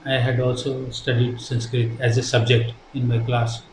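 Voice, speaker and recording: An older man from India with an Indian accent, whose first language is Hindi, answering interview questions in a halting, earnest way with many fillers and restarts. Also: medium pitch, monotone. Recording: echoey room with faint noise.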